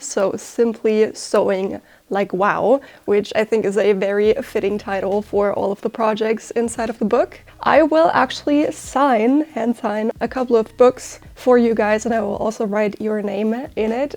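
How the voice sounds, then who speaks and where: A young woman whose first language is German walks viewers through a sewing project. Her voice sits a little high, and she explains each step in a chatty, upbeat way. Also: darkish tone. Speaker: sewing teacher. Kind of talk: sewing tutorial with announcement.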